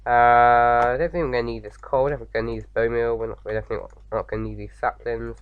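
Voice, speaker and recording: A man with a slight sore throat, slightly croaky, chatting casually as he hosts a game playthrough, rambling with lots of fillers. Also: mid-range voice, little pitch movement, racing, phone-quality sound. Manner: rambling, many fillers and restarts. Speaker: gaming video host. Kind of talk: casual let's-play commentary.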